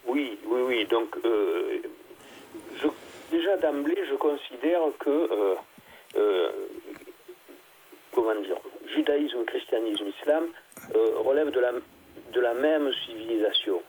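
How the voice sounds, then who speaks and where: A middle-aged pastor and theologian speaking in a radio interview, halting and hesitant, with many filler sounds and restarts. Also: male voice, mid-range voice, animated, a little mellow, rough recording. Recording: faint background noise.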